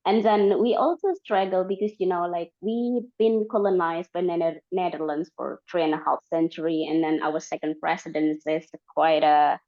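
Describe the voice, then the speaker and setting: A woman from Borneo with an Indonesian accent, speaking English as a second language, talking in a halting way with many fillers and restarts as a community organizer. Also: fairly low pitch, steady tone. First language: Dayak language. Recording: dull, lo-fi.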